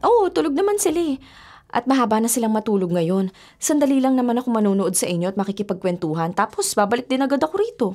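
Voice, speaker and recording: A woman's voice: fairly high pitch, sing-song, fast, dark tone, soft background music. A woman with a Filipino accent playing a defiant, argumentative young mother hooked on gambling in a radio drama. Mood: breezy. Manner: argumentative, emphatic.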